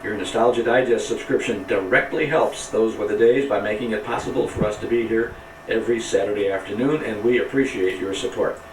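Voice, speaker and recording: A man's voice: on the low side, brisk. An American man hosting a live radio broadcast and interviewing, picked up from a distance with faint railyard ambience behind him. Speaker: radio show host. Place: back platform of old passenger railcar. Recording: echoey distant camcorder audio, faint railyard noise. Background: faint train and outdoor ambience.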